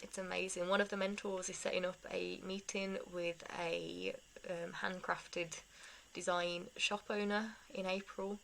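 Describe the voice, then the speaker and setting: A woman's voice: fairly low pitch, flat delivery, measured pace, vocal fry, few pauses, a bit bright, a little hiss or hum, low-quality recording. A young woman vlogger giving a casual life update, rambling with self-deprecating asides.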